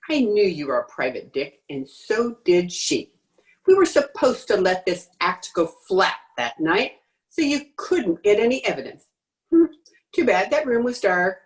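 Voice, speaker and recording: A woman's voice: fairly high pitch, low-quality recording. A woman playing a tough, defiant swindler in an old-time radio crime drama, slangy, sneering and cocky. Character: brassy, defiant female swindler, tough and mocking. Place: home recording over video call.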